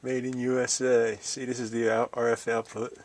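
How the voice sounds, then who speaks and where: A man's voice: mid-range voice, level tone, moderate pace. A middle-aged or older American man giving a casual, folksy hands-on show-and-tell, musing as he goes. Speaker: DIY video host.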